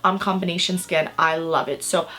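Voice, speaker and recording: A young woman vlogging about makeup she bought, her voice raspy and hoarse from a cold, chatting in a bubbly, rambling way. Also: on the low side, fairly even intonation, moderate pace, sharp tone, low-quality recording. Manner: bubbly, rambling, lots of "like" and "really, really". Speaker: beauty vlogger. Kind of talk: casual chatty haul video. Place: indoors under filming lights.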